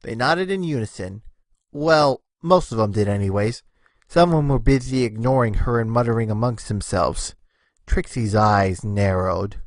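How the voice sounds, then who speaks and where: A man's voice: lowish voice, moderate pace, dry recording. A man narrating a scripted story as its narrator, reading in storybook style.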